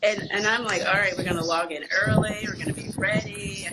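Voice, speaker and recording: A woman from Atlanta, a guest chatting quickly and casually in an interview. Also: mid-range voice. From Atlanta, Georgia, US. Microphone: video call. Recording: loud background noise on video call.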